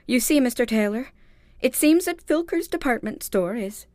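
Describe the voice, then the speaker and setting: A woman's voice: a little high, lively intonation, normal speed, darkish tone, dry recording, studio quality, natural voice. A woman acting in a radio-style mystery drama as a polite, anxious store heiress, speaking in a formal, earnest, dramatic way. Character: polite, anxious female department store heiress seeking help. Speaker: actor in an audio drama.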